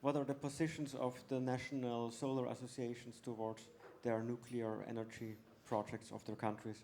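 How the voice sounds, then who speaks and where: Mellow-voiced audience questioner. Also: male voice, mid-range voice, little pitch movement, moderate pace, slight background noise. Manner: curious, direct. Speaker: audience member asking a question. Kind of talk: conference Q&A.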